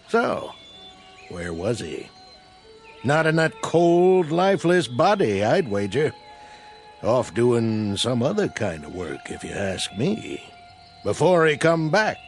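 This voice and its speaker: An actor playing a suspicious small-town country man with a rural American accent, drawling and insinuating in a radio drama. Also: mid-range voice.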